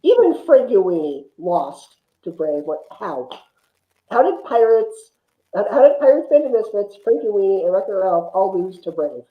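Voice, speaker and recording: A woman's voice: mid-range voice, sing-song, moderate pace. A woman talking casually as a film podcast panellist, over a roomy, phone-like connection. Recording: roomy, phone-like narrow sound.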